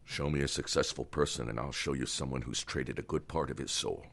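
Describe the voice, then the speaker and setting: Stern deep-voiced older doctor. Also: male voice, fairly even intonation, a little fast, creaky, a bit bright, exaggerated voice. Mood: scolding. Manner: commanding, scolding, moralizing. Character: stern older male doctor, authoritative and lecturing. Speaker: Doctor Barrows. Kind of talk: audio drama acting.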